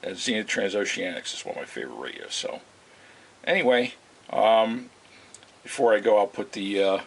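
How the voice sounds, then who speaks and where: A man giving a casual, unscripted demonstration as a video host, speaking in a halting, rambling way. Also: fairly low pitch, a bit bright, breathy edge. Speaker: video host demonstrating vintage radio repair. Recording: hissy, noisy amateur home video.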